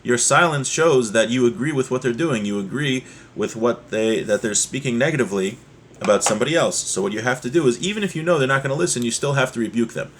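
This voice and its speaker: A man giving a religious lesson as a teacher, explaining a text point by point and repeating key ideas, in a husky voice. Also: medium pitch, expressive, fast talker, slight background noise. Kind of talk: Torah lesson, reading Hebrew text and explaining it.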